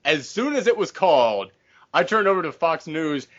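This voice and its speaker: A man hosting a podcast, chatting casually and opinionatedly, telling stories in an animated, profane way. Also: on the high side, moderate pace. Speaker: podcast host. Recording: dull lo-fi voice recording.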